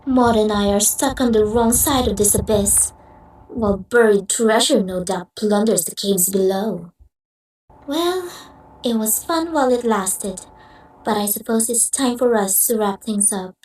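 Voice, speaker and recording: A woman's voice: medium pitch, lively intonation, unhurried, darkish tone, slightly rough, no room sound, low-quality recording. A woman voicing a refined, dramatic female pony adventurer in an amateur comic dub. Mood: resigned, wistful. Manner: theatrical, genteel, effusive. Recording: music behind the voice.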